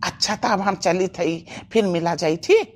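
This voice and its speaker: A woman plays a bashful young in-law in a cartoon comedy. The voice is on the low side and hesitant, with an Awadhi accent. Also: moderate pace, close to an everyday voice.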